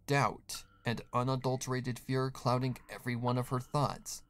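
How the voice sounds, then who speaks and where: A man's voice: mid-range voice, a bit bright. A man narrating a scripted horror story in a dramatic reading style.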